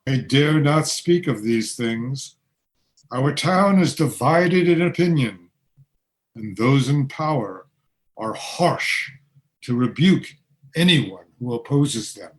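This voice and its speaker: A man's voice: medium pitch, animated, takes it slowly. A man as a formal old-time ghost. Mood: earnest. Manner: formal, old-fashioned phrasing. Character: earnest male ghost from the 1820s. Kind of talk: community radio play acting.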